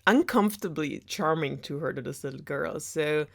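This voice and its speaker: A woman's voice: low-pitched, normal speed, creaky. A woman casually retelling a story in a sarcastic, rambling, giggly way as a storytelling host. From Germany. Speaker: storyteller host of a web series.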